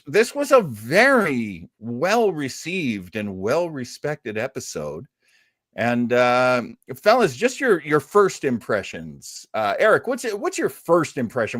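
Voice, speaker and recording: A man hosting a casual podcast review discussion, talking in a thoughtful, halting way. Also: on the high side, no echo, studio quality. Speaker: podcast host.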